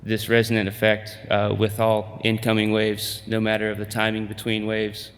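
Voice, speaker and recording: A man in middle age giving a conference pitch, hesitant with many fillers and restarts. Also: monotone, normal speed, big-room sound. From United States. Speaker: company presenter.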